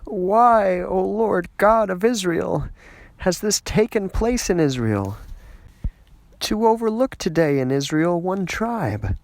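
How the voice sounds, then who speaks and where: Grieving ancient Israelite, acted by a male reader: high, slow, anguished cry to God, scripture audiobook, dry sound. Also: animated, dry recording, full character voice. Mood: anguished lament. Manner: slow, raised, lamenting cry.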